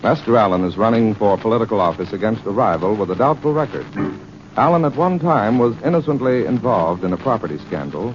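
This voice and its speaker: An actor serving as an earnest announcer, delivering dramatic scripted narration for a 1940s radio mystery. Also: male voice, lowish voice, noisy background. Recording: muffled 1940s radio recording. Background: prominent music.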